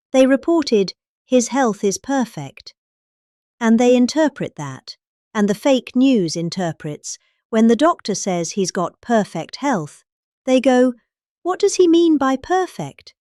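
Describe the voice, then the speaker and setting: Animated British woman, theatrically emphatic. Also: a little high, no echo, studio quality. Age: middle-aged. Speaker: performer reading a political speech. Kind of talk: comic dramatic reading of a rambling speech.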